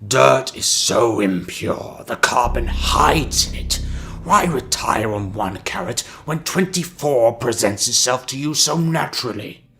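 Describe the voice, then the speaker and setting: A man playing a sinister, patient villain in a dark audio drama, drawing out each phrase with deliberate menace. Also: medium pitch, animated, gravelly, a little hiss or hum. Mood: cold, savouring. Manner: drawn-out, deliberate, menacing delivery. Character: sinister, slow, patient villain musing on carbon and diamonds.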